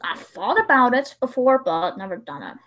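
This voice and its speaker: A woman's voice: on the high side, big pitch swings, normal speed, background noise. A woman chatting casually as host of a livestream, in a talkative, informal way full of exclamations.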